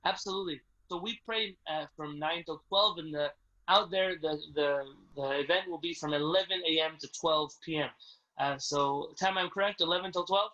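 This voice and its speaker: A man's voice: normal speed, sharp tone, highs cut off. A man speaking as a rabbi, answering community questions on a video call in a courteous, inviting way. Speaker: rabbi leading a community address. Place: indoors on a Zoom video call. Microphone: video call microphone. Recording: low-quality video call audio.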